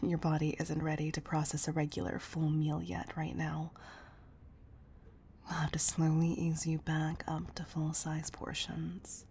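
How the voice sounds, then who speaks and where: Casting: actress as a doting, protective female boss for intimate audio drama; low, gravelly, tough but tender. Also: a little hiss or hum. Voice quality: husky, breathy, often near whisper. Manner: intimate, deliberate delivery with pet names. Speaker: audio roleplay voice performer. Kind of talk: intimate scripted audio roleplay acting.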